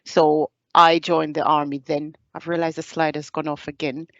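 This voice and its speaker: A Jamaican woman in her thirties, a soldier giving a hesitant presentation over a video call, pausing often on fillers. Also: sits low, flat delivery, fairly bright tone, no echo, muffled recording. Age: 30s. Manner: hesitant, many filler pauses. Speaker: serving army staff sergeant giving a talk.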